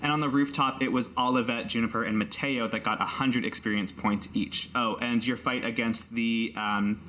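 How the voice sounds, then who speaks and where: A man's voice: mid-range voice, fairly even intonation, normal speed, sharp tone. A man hosting as game master, moving between scripted narration and casual asides in a tabletop role-playing game.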